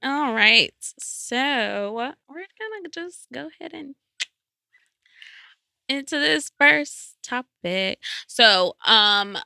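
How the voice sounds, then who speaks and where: Bright-voiced, playful woman podcast host. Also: high voice, expressive, extremely slow, gravelly, no room sound. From United States. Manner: playful, laughs often, teasing. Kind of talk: casual chat. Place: recording studio.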